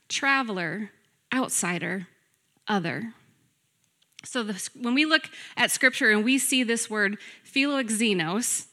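Bright-voiced woman preaching. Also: mid-range voice. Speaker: guest preacher. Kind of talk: sermon, personal teaching to a congregation.

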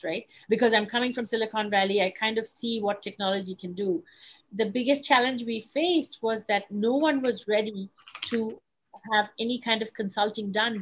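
Middle-aged woman from Bangladesh, interview guest, measured, frequent restarts. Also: medium pitch, poor audio. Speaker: guest, tech investor. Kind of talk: online interview.

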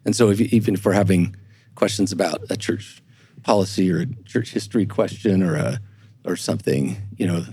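A man explaining reflectively as a podcast guest, earnest and halting, often repeating words as he thinks. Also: deep voice, warm tone, breathy edge. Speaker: church historian, podcast guest.

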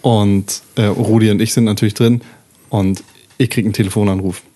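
A man improvising a tabletop role-playing story in a casual way, haltingly, thinking aloud as he goes. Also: lowish voice, fairly even intonation, warm tone.

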